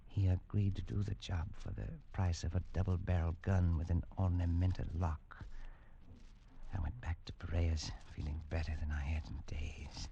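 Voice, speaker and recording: A man's voice: low voice, level tone, normal speed, gravelly, warm tone, lo-fi sound, a little hiss or hum. An actor playing a shaken colonial man who narrates his own story in a 1940s radio drama. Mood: narrating. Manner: tense, shaken, clipped. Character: nervous English colonial man, haunted.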